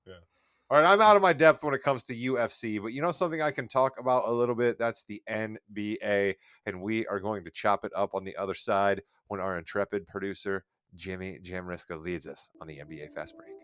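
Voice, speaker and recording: A man's voice: fairly low pitch, a little fast, a bit bright, clean tone, no room sound, studio quality. A man co-hosting a casual sports podcast, rambling with jokey, self-deprecating asides. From Northwest Indiana. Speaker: podcast co-host.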